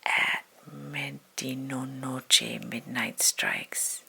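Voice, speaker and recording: A woman reading a spooky children's poem aloud in a dramatic, hushed storytelling manner, stretching words and dropping into whispers. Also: a bass voice, wildly swooping pitch, extremely slow, creaky, breathy edge. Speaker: storybook reader.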